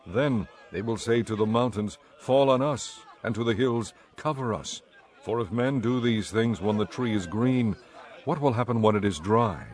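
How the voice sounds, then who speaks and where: Calm, solemn teacher with a low, even voice, read by the male narrator. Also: moderate pace, lightly acted.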